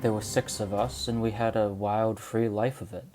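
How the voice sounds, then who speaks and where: Weary, low-voiced old man acted by a man. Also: level tone, moderate pace, warm tone, faint music behind, lightly acted. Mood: bitter, confessional. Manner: grave, confessional. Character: weary dying old man, former highway robber, bitter and remorseful. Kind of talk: amateur audio drama acting.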